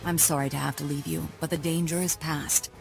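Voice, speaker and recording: Actor as a grave Jedi master, gravelly, serious, music clear behind. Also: medium pitch, little pitch movement, a little fast, close to an everyday voice. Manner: serious, cautioning.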